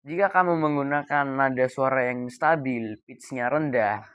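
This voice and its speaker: A young adult man giving an informal coaching talk, deliberate and emphatic, pausing between key words. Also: mid-range voice, fairly bright tone, no room sound, clean studio sound. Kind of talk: informal explainer talk to camera.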